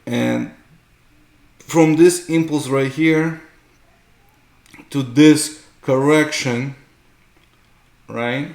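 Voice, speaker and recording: Slow, gravelly man explaining charts. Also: on the high side, fairly even intonation, a little mellow. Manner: explanatory, often checks with "right?". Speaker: host, market analyst. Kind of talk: unscripted chart tutorial commentary. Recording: roomy, echoing room sound.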